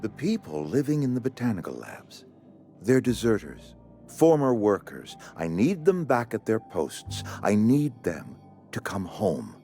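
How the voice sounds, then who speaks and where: An actor playing a pompous, courteous company administrator in a video game, speaking in a formal, wordy, officious way. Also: male voice, lowish voice, huge pitch swings, unhurried, warm tone, soft background music, heavily acted. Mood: grave, earnest. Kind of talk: video game character acting.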